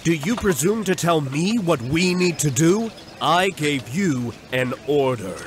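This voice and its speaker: Bossy proud fantasy army captain, barks orders, very animated, noisy ambience behind. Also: male voice, fairly high pitch, unhurried. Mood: stern, demanding. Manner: barking, curt, imperious. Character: proud, domineering military commander, man. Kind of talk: dramatised fantasy audiobook acting.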